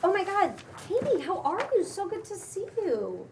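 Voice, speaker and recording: A woman's voice: very high-pitched, wildly swooping pitch, full character voice. A woman acting an awkward, hesitant, dryly skeptical character, stammering and slangy, in a cold read of a comedy script. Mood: flustered surprise. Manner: halting, stammering, casual and slangy. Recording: distant room mic, faint background noise.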